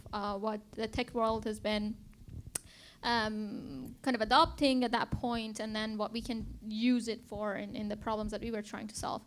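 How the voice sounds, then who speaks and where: Higher-voiced woman founder. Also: fairly bright tone, slight background noise. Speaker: interview guest, biotech company founder and CEO. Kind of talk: conversational interview storytelling.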